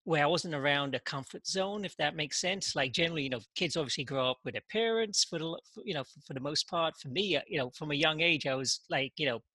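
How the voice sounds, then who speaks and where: Halting man, bright forceful voice. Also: a little high, no room sound. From England. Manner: halting, heavy use of "you know" and repeated words. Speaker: podcast guest. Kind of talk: casual interview talk.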